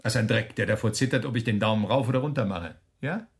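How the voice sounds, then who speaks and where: A man acting in a German radio play as a smug, menacing officer who taunts a prisoner with mocking, drawn-out questions. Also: lowish voice, natural voice. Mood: boastful, contemptuous.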